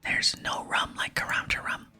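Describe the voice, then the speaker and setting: A woman's voice: moderate pace, bright, forceful tone. A woman voicing a wheedling, rum-loving old male pirate from a game in a lilting whisper. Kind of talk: whispered reading of game dialogue. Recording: close whisper with music behind.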